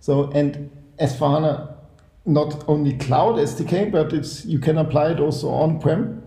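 A man's voice: fairly high pitch, moderate pace. A man hosting a casual group podcast recorded in a shared apartment, chatty and leading the round. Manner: relaxed, chatty, leads the round. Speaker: podcast host. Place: shared apartment room at night. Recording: echoey room.

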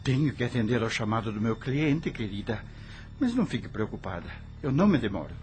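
A man playing an affectionate, reassuring husband in a radio drama, speaking softly. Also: medium pitch, big pitch swings, fairly quick, a little hiss or hum, close to an everyday voice.

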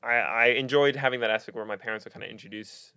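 A young man with an American accent, hosting a casual podcast chat in a relaxed, hesitant way with many pauses. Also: medium pitch, clear, resonant tone, no room sound, studio quality. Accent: American Midwest. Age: young adult. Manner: relaxed, hesitant, lots of ums and laughs. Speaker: podcast host.

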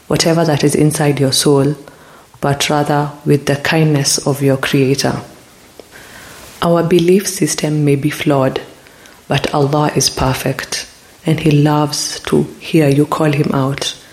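A podcast host giving a calm, reflective spiritual talk into a close mic. They ask the listener many probing questions and grow looser and more hesitant when retelling stories. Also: medium pitch, rough recording.

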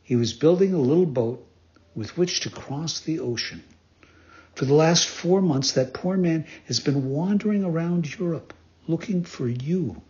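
A man reads as a large storybook pigeon, a helpful messenger. He is steady, plain and matter-of-fact. The sound is a little dull and lo-fi. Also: fairly high pitch, measured pace. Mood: calm, informative. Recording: dull lo-fi recording.

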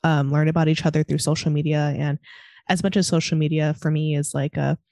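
A woman's voice: sits low, level tone, fairly quick, warm tone, no echo, clean studio sound. A woman from California with a Californian American accent, chatting casually in a hesitant, meandering way peppered with "like". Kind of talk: casual panel conversation.

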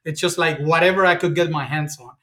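A man's voice: fairly high pitch, steady tone, brisk, pushed and bright. A man in his thirties from Cuba, a podcast guest chatting casually and hesitantly, often repeating words. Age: 30s.

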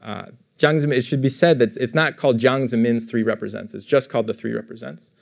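Gravelly-voiced man giving a lecture. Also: medium pitch, steady tone, fast talker. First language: English. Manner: explanatory, self-correcting, with frequent fillers and repeats. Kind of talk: academic lecture to a live audience. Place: lecture room.

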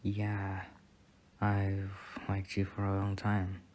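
A young man playing a shy, sweet student boyfriend on a first date in a soft-spoken ASMR roleplay, speaking in a hesitant, bashful way. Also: deep voice, monotone, slow pace. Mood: shy, hopeful.

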